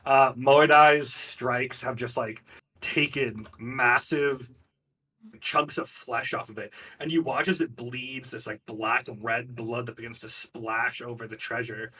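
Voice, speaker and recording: A man leads a tabletop game as game master, sitting a little away from the mic. His voice is mid-pitched, and he moves between casual rules talk and vivid narration. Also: steady tone, slow pace, bright, forceful tone, breathy edge, distant mic. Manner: informal, vividly descriptive. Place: online group game stream.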